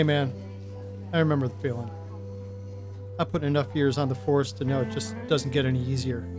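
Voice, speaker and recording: An actor playing a middle-aged veteran ex-cop, a steady, supportive friend speaking casually in a crime audio drama. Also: male voice, mid-range voice, close to an everyday voice. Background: guitar music.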